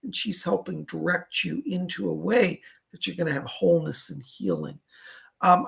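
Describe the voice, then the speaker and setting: A man with a raspy voice, a psychic medium giving live readings in a soothing, reassuring, chatty way. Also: a little high.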